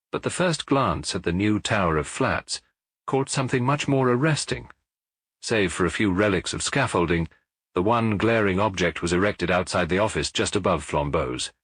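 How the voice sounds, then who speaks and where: A British man reading a detective story as an audiobook, in a measured, precise literary narration. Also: lowish voice, fairly bright tone, breathy edge, no echo.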